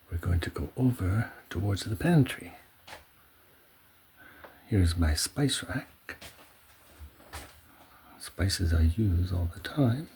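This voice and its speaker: Male ASMR host, low register, very unhurried delivery, murmured asides and hums; echoey home recording with audible noise. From Canada. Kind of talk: ASMR, casual cooking chat. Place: indoors at home kitchen. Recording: roomy echo, clear background noise.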